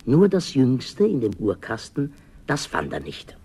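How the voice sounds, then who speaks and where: Creaky, husky male storyteller. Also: mid-range voice, a little slow. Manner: expressive, theatrical. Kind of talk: dramatic scripted fairy-tale narration.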